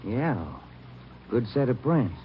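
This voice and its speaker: An actor playing a wry, grumbling police detective sidekick with a casual manner in a 1940s radio drama. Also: male voice, medium pitch, big pitch swings, slow. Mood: businesslike. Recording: muffled old radio recording, faint noise.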